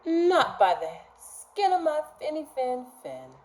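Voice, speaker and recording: A woman's voice: high voice, huge pitch swings, takes it slowly, pitched above the performer's own voice, exaggerated voice, poor audio. A woman voicing a small, plucky young fish in a storybook, with emphatic sing-song defiance. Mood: defiant. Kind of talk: storybook read-aloud.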